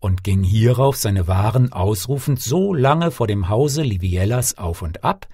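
A man reading a fairy tale as an audiobook narrator, expressive and theatrical, with deliberate phrasing. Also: medium pitch, breathy edge, no echo, studio quality. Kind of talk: expressive scripted audiobook storytelling.

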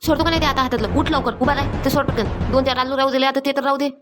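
Woman as cartoon village mom, high, extremely quick, nagging. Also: fairly even intonation, over music, lightly acted. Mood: angry, scolding. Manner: nagging, rapid repeated orders. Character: scolding, busy village mother. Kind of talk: Marathi comedy cartoon dialogue.

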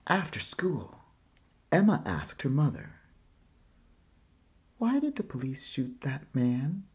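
Male storybook reader, slow and gravelly, on a muffled home recording. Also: huge pitch swings. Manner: slow, gentle reading with lifted pitch for child characters' lines. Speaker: picture book reader. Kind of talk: children's book read-aloud.

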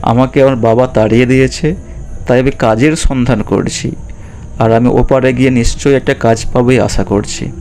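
A man's voice: mid-range voice, steady tone, normal speed, a little mellow, a little hiss or hum, close to an everyday voice. A man voicing a restless, determined eldest son looking for work, speaking insistently in a children's cartoon. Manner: insistent, refusing.

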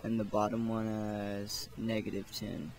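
A man gives a casual, offhand screen tutorial at a slow, unhurried pace. His voice sits a little low with a lot of fry in it, and there is faint noise behind him. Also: level tone, a little mellow. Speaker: tutorial host. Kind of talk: casual software tutorial narration.

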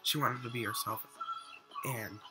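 A man's voice: medium pitch, steady tone, a little slow, loud music behind the voice. A teenage boy rambling earnestly to a webcam in his bedroom, still getting over being sick, with lots of fillers and restarts. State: recovering from illness, voice slightly off. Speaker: vlogger. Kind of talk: casual webcam rant. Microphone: webcam at desk.

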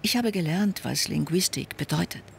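A man reading a calm, measured first-person voice-over that translates signed speech in an interview. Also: on the high side, creaky, slight background noise.

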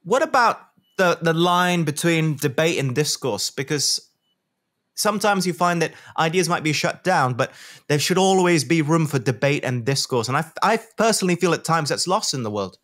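A man's voice: on the high side, expressive, normal speed, a bit bright. A man from Malaysia hosting an interview over a video call, chatty and effusive, speaking into a desk microphone. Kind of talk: friendly interview questions. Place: home studio, video call. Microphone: desk microphone on a boom.

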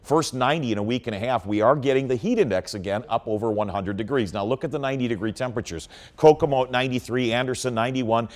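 Male TV forecaster, middle-aged, American, medium pitch, emphatic explanatory delivery, studio sound. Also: quick pace, few pauses, a little mellow. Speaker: TV weather forecaster. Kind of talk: live weather forecast presentation. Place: TV studio in front of weather screen.

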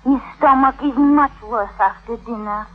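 An actress playing a coolly calculating young Victorian wife, demure and measured, in a 1950s radio drama. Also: female voice, on the high side, heavy background noise, close to an everyday voice. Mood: quietly knowing. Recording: thin, tinny vintage radio recording.

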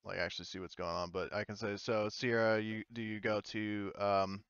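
Low-voiced man running a tabletop game. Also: flat delivery, moderate pace, a bit bright, smooth, no room sound, dull audio. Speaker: game master. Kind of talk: narrating and running an online tabletop roleplaying game.

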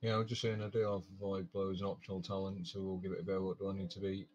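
A man with a deep, monotone voice rattles very quickly through casual tabletop game talk, heard over slightly dull, muffled call audio. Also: a little hiss or hum. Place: indoor room, online video call.

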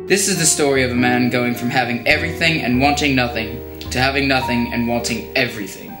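Man acting as documentary narrator, medium pitch, solemn, deadpan, mock-grand, scripted, echoey, guitar music behind. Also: fairly quick, close to an everyday voice. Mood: solemn, wry.

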